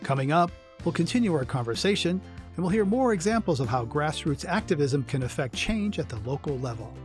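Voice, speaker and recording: A man hosting an interview podcast, asking measured, attentive questions and reading quotes aloud. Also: on the high side, animated. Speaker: podcast host. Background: faint music.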